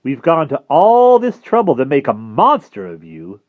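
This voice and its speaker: A man's voice: mid-range voice, wildly swooping pitch, normal speed, dry recording, pitched above the performer's own voice. A man voicing a wiry, wicked male creature who coaxes and hisses in a sing-song chant, recorded dull and dry. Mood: mocking urging. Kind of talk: audiobook character voice.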